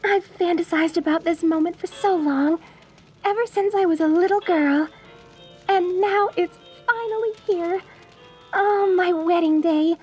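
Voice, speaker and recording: A woman playing a sweetly deranged, lovestruck mortician bride in a dark comedy audio roleplay, cooing genteel endearments at the listener with theatrical menace. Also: extremely high pitch, a little slow, soft background music. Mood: lovestruck, dreamy.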